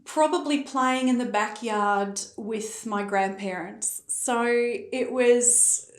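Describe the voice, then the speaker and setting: A woman's voice: fairly high pitch, slow pace. A young adult woman from Africa, speaking as a guest in a conversational interview in an earnest, affirming way. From South Africa. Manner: earnest, affirming, frequent "you know". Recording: echoey room.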